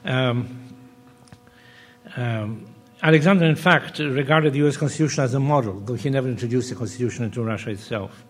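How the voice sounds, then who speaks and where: An older man from Poland gives a measured academic lecture at a mid-range pitch. He pauses often and restarts his phrases. His voice carries a long reverb, as if from a large hall. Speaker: panel speaker.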